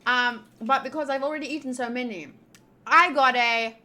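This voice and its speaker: A woman's voice: high voice, moderate pace, pushed and bright. A young woman streaming a visual novel, chatting casually in a playful, sweary, slangy way. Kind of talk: casual gameplay chat, reading game lines aloud.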